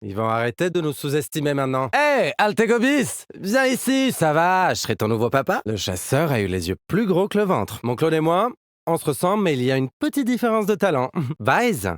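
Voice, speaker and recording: A man's voice: fairly high pitch, very animated, fairly quick, no room sound, studio quality. A man playing an upbeat, cocky young musician fighter in a video game, with casual, playful team banter. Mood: boastful, teasing. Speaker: video game agent character. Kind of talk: game character voice lines.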